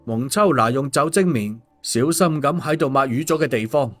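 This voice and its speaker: A man's voice: mid-range voice, moderate pace. A man narrating a scripted story as an audiobook storyteller. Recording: music behind the voice. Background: background music.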